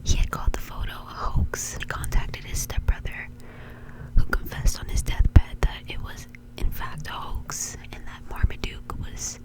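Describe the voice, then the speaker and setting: A woman's voice: moderate pace, poor audio. A woman doing whispered ASMR storytelling in an informal, conversational way, close to the microphone. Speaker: ASMR video creator and host.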